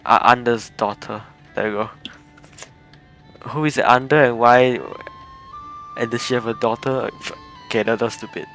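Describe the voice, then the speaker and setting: A man's voice: mid-range voice, steady tone, normal speed, pauses often, fairly bright tone. Rambling male game streamer. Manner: rambling, giggly. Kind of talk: casual live gameplay commentary. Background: game music.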